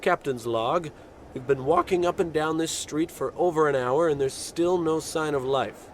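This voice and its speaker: A man plays a blustering spaceship captain from a radio comedy, pitched a little high. He is pompous and self-important, issuing commands grandly with more bluster than brains. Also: normal speed, slight background noise, natural voice. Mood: solemn log narration. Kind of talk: old-time radio comedy acting.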